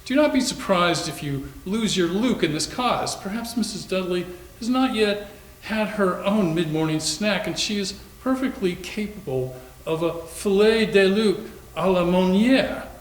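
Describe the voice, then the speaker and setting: A flamboyant young gentleman, acted by a man declaims with playful mock grandeur in readers theater. His voice is high for a man and rings in an echoey room. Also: expressive, a little slow.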